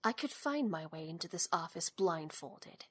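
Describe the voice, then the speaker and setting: A woman playing a cool, self-assured young heiress with a breathy voice and wry delivery in an audio drama. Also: lowish voice, lively intonation, moderate pace, a bit bright. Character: cool, confident young heiress, slyly confrontational.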